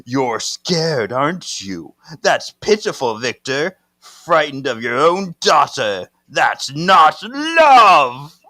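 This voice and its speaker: A man's voice: a little high, big pitch swings, slow pace, bright, forceful tone, dry recording, voice pushed up, heavily acted. A man voicing a scornful, menacing woman possessed by an ancient being. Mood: mocking.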